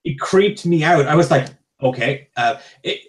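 A man, talking emphatically and haltingly in a casual book club chat on a video call. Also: a little high. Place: small home room, indoors. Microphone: earphone headset on a video call.

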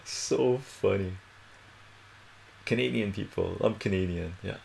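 A man's voice: fairly low pitch, measured pace, creaky, a little mellow, slight background noise, low-quality recording. A middle-aged man born in Iran with Armenian as his first language, chatting casually through a math lesson at a whiteboard in a small home room and often asking "right?". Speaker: math tutor.

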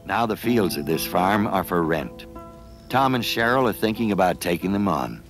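Very deep, expressive male documentary narrator. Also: normal speed, faint music behind. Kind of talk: scripted narration.

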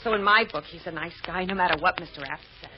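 An actress playing a sympathetic, plain-spoken coffee shop waitress, chatty and obliging, in an old-time radio drama. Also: female voice, fairly low pitch, fast, lightly acted. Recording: dull, noisy old radio recording.

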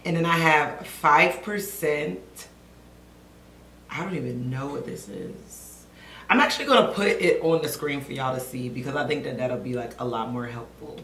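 A young woman vlogging to camera at home, gushing and excited as she talks to her viewers. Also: extremely low pitch, moderate pace, roomy sound, a little hiss or hum. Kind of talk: casual vlog talk to camera. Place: living room at home.

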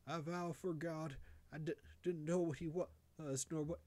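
Man voicing a high-pitched, frightened country woman. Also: fairly even intonation, normal speed, darkish tone, no echo, lightly acted. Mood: fearful confiding. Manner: hesitant, faltering rustic dialect. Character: frightened, downtrodden rustic albino mother, poor and confiding.

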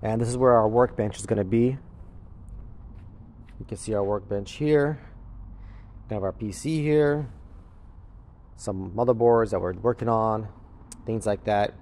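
A man's voice: lowish voice, unhurried, pauses often, a little mellow. A man gives a casual, matter-of-fact, unscripted walkthrough, explaining things as he films on a handheld camera. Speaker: crypto mining farm operator giving a walkthrough. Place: large indoor warehouse with concrete floor. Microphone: handheld camera or phone held by the speaker.

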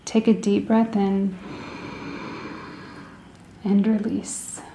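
Woman doing guided meditation, soothing n gentle, bit of creaky fry, soft mellow tone, roomy echo. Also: mid-range voice, little pitch movement, a little hiss or hum. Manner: soothing, gentle, deliberate phrasing with pauses.

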